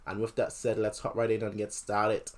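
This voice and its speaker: A man giving casual, rambling reaction commentary as a host, breaking into excited exclamations. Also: fairly low pitch, moderate pace.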